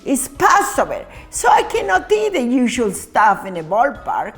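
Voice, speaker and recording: Woman voicing a grumbly, high-voiced young boy. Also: wildly swooping pitch, unhurried, soft background music. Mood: grumbling. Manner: whiny, grumbling.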